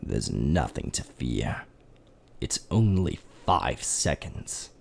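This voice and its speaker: A methodical skeleton scientist, as a man voices him in a fan dub, recites his reasoning in a deep, gravelly voice. His delivery is measured and steady, and his pitch lifts and falls as he reassures himself. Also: full character voice. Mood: reassuring.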